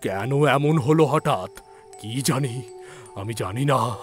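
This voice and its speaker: Male story narrator: mid-range voice, very slow, suspenseful delivery. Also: medium pitch, faint music behind. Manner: deliberate, suspenseful. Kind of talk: dramatic scripted story narration.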